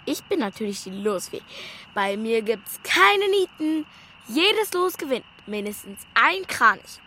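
Eager little fairground girl, high lively voice, bossy, kids radio play. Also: a little slow, slight background noise, full character voice. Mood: excited, proud. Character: spirited ten-year-old fairground girl, eager and bossy. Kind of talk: children's radio play acting.

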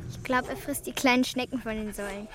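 A woman's voice: a little high, steady tone, fast talker, noisy background, lightly acted. An actress playing an earnest, youthful girl who is a devoted friend, in a German children's radio drama. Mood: friendly, earnest.

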